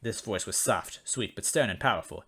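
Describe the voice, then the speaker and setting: A man's voice: fairly low pitch, animated, moderate pace, sharp tone, breathy edge. A man narrating a comic first-person story as a read-aloud. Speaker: story reader.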